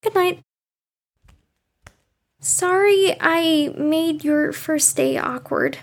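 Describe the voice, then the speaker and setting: A woman's voice: extremely high pitch, drawn-out words, exaggerated voice. A woman playing an earnest, nosy young woman, a little defensive, in an audio drama. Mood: probing, friendly.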